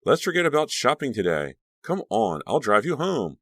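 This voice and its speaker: An actor voicing a bossy, high-strung teenage girl who fusses in a bright, reassuring way. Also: very animated, normal speed, dry recording. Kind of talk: audiobook character reading.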